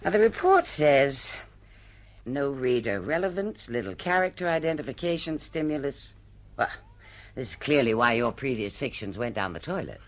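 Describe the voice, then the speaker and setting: An actress playing a ruthless, brash publishing executive in a radio drama, blunt, domineering and full of business jargon. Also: female voice, extremely low pitch, big pitch swings, moderate pace. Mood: coolly dismissive.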